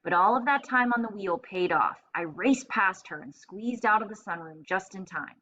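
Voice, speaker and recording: A woman voicing a self-satisfied, excitable little male hamster telling his diary in a children's storybook. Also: medium pitch, normal speed, lo-fi sound. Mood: indignant. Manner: self-satisfied, exclamatory, asks and answers himself.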